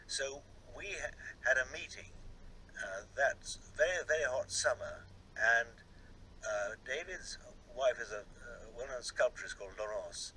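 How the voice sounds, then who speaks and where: An older man reminiscing in an interview, halting and often repeating words. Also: mid-range voice, bright, forceful tone, a little hiss or hum, poor audio. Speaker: television director being interviewed.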